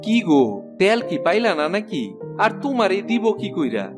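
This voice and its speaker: A man's voice: sits high, big pitch swings, normal speed, music in the background. A man playing an irritable, put-upon village husband in a cartoon, complaining in a rural eastern Bengali accent. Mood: bossy, grumbling. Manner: complaining, exclamatory. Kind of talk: animated cartoon dialogue.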